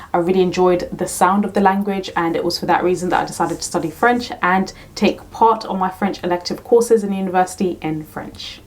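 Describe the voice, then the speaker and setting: A young woman from Kenya, a teacher, casually answering questions to camera, repeating "really, really" and "to be honest" often. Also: on the low side. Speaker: teacher and YouTube tutor.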